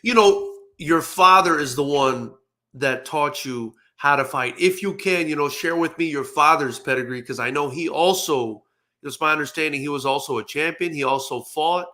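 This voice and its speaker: Upbeat guy hosting a fight channel interview, bright sharp voice, bit high, rambles a lot. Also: moderate pace. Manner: enthusiastic, rambling with many "you know"s. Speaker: interview host. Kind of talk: casual interview.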